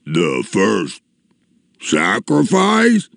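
Casting: a grimy, lonesome storybook giant, menacing yet sorrowful, ponderous and slow, recorded dry. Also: male voice, fairly low pitch, wildly swooping pitch, creaky, fairly bright tone, dry recording, exaggerated voice. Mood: confused. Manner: slow, drawn-out, ponderous delivery. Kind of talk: storybook character voice.

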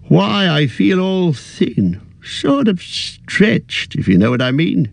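A man reading aloud, voicing a fussy, aging male hobbit in a high, quavering, petulant voice that grows possessive. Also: big pitch swings, slow pace, gravelly, no echo, heavily acted. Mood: wistful.

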